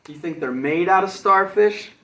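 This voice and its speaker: A man who works as a kindergarten teacher reads a storybook aloud for children, pausing to explain it in simple words. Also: high-pitched, fairly bright tone, echoey room, distant mic.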